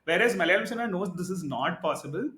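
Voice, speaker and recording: A man co-hosting a podcast, talking in a casual, animated, argumentative way and often tagging his points with "right?". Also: high-pitched, quick pace. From India. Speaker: podcast co-host. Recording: echoey room.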